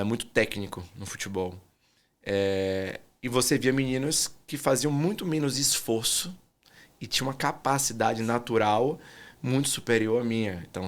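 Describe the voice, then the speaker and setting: A man with a Bahian accent talks in a lowish, soft-spoken voice. He answers interview questions reflectively, trailing off and filling pauses as he thinks. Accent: Bahian Portuguese. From Salvador, Bahia, Brazil. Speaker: guest artist.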